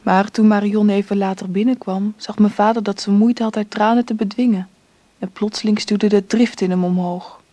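A woman narrating a radio play in a measured, reflective way. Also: mid-range voice, steady tone, warm tone, no echo. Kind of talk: scripted narration.

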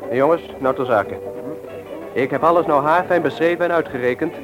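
An actor playing a cocksure, bossy young ringleader in a radio play, instructing with firm emphasis. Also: male voice, on the high side, fairly quick, lo-fi sound, over music, natural voice. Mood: confident, instructing.

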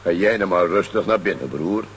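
Easygoing strongman, lively intonation. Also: sits low, a little fast, a little hiss or hum. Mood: relaxed. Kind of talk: children's audio drama acting.

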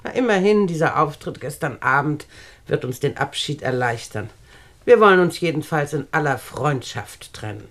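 An actress playing a domineering, wealthy old aunt in a German radio play, sarcastic and condescending. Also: female voice, low-pitched, lively intonation, normal speed. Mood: cool, dismissive.

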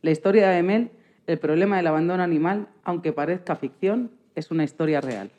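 A woman's voice: on the low side, a little fast, mellow. A woman presenting scripted educational narration for children, putting questions to listeners. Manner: addresses listeners with rhetorical questions. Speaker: radio programme presenter.

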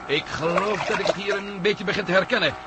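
An actor playing an impatient, grumbling adventurer in a radio play, with an expressive way of talking. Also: male voice, high voice, brisk, heavy background noise, natural voice. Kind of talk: radio drama dialogue.

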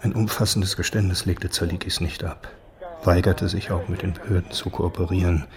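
A very low-voiced man reads documentary narration. His delivery is sober and factual, with a flat, level intonation. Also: moderate pace, crowd noise. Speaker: narrator reading documents and facts.

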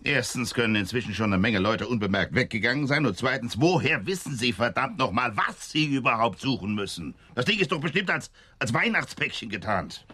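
A biting sarcastic police boss in a radio play, forceful voice, big pitch swings. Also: male voice, sing-song, a little fast, husky, exaggerated voice. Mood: sceptical, irritated. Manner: sardonic, curt, biting. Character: stern, sarcastic senior police inspector, impatient and sceptical. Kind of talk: radio drama acting.

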